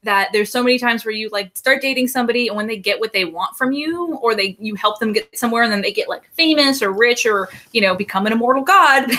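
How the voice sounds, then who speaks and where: A young woman with a bright, forceful voice, pitched a little high, co-hosting a live book club. She talks casually, swears without hesitation and slips into the voices of characters' thoughts. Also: lively intonation, fairly quick, few pauses. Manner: chatty, swears freely, acts out characters' thoughts.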